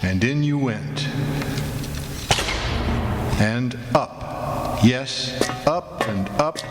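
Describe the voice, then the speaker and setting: An actor playing a haunted lighthouse keeper, telling his tale in deliberate, brooding radio theatre narration. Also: medium pitch, over loud music. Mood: wistful, descriptive. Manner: deliberate, brooding, savoring vivid descriptions. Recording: roomy hall, clear background noise.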